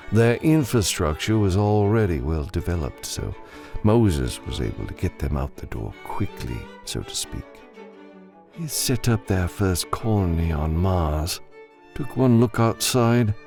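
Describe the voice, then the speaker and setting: A man performs an opinionated male colonist for an audiobook. The voice is low and gravelly, with lively swings and a chatty, wry manner. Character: opinionated Martian colonist man, wryly explaining history.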